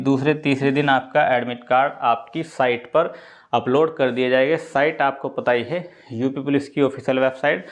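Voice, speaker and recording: A man talks to students in a mid-range voice. He explains in an informal, instructive way, like a coaching presenter. He repeats key points and often checks in with his listeners. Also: medium pitch.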